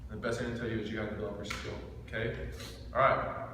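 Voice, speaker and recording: A man's voice: on the low side, little pitch movement, fast. A man in his thirties gives an informal motivational training talk to a group, speaking intensely and directly with emphatic rhetorical questions. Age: thirty-seven. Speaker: business team trainer. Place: echoing indoor room with a small group. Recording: echoey room recorded from far off, faint noise.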